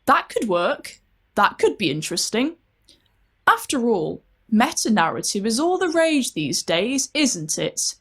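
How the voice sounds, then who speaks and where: A weary older woman author, acted by a female storyteller muses to herself with theatrical self-doubt. The voice is fairly high and swings widely in pitch, as in a storybook reading. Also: big pitch swings, unhurried, fairly bright tone, slightly rough, full character voice. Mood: hopeful musing.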